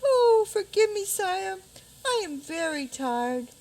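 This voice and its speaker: A woman's voice: extremely high pitch, sing-song, slow pace, background noise, heavily acted. A woman playing a weary, sleepless fairy-tale princess, plaintive and theatrical, in a radio theater comedy. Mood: plaintive, exhausted.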